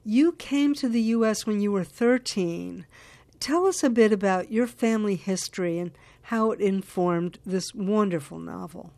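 A woman radio show host reading a scripted introduction in a measured, formal way, then asking interview questions. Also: mid-range voice, gravelly, mellow, no room sound.

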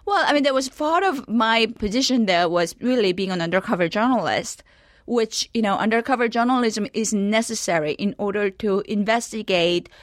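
A woman's voice: mid-range voice, animated, moderate pace, dry recording. A reflective woman from South Korea speaks earnestly, often restarting her sentences as she gives interview answers. Her medium voice is picked up dry and close on a studio microphone, with no room sound. She sounds middle-aged. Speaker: author and journalist guest.

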